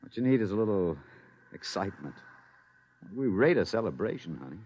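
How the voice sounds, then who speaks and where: A determined, protective American fugitive, speaks in low, earnest tones and clipped phrases that rise and fall dramatically. The old radio recording is dull, with clear noise underneath. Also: male voice, big pitch swings, brisk. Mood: soothing confidence. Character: tense, protective fugitive husband, determined and reassuring.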